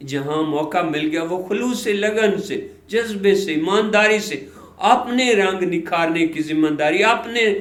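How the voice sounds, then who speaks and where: Guy telling a motivational moral story, kinda high voice, earnest, short punchy phrases, echoey room sound. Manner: earnest, rhetorical, builds lists in short phrases.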